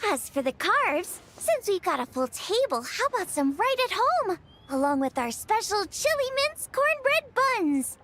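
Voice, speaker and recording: Cheerful young waitress-cook for a video game, extremely high and expressive, reassuring, music clearly underneath. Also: female voice, a little slow, full character voice. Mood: upbeat, friendly. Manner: bubbly, chatty, reassuring. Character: cheerful, confident young girl chef and waitress. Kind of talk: English video game voice acting.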